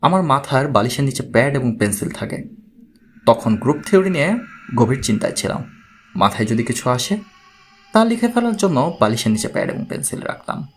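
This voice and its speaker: A man playing a troubled, courteous man who gravely recounts his own strange story in an audiobook. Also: medium pitch, very animated, a little fast, slight background noise. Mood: pained, restrained.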